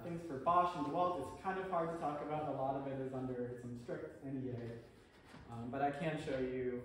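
A man's voice: fairly high pitch, slight background noise. A man from Croatia introducing himself and his work at a live presentation, in a plain, matter-of-fact way. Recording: echoey room, far from mic.

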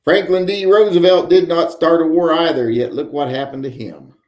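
A man's voice: a little high, steady tone, moderate pace, a little mellow, roomy sound. A man in his forties hosting a live stream from home, reading viewer chat and rambling with wry joking asides. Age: 40s. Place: home room with guns on the wall.

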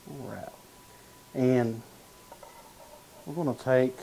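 Man, 30s, cooking show host; slow, relaxed demo patter, mid pitch, lapel mic in a studio kitchen. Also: gravelly, slight background noise, low-quality recording. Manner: easygoing, talks while stirring and slicing, frequent "uh" and "gonna". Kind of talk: cooking demonstration. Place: home-style studio kitchen, indoors.

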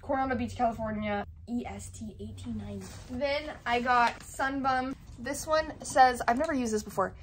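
A woman's voice: a little high, normal speed, few pauses. A young woman chatting casually in a vlog, bubbly and saying "literally" a lot, filmed on a handheld phone. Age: young adult. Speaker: vlogger. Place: hotel room, indoors. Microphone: handheld phone camera. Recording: noisy phone audio.

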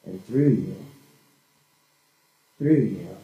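A young man performing spoken word poetry on a small church stage with a handheld mic, dramatic and swinging from hushed to shouted. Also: low-pitched, animated, drawn-out words, dull audio. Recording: echoey room from a far camera.

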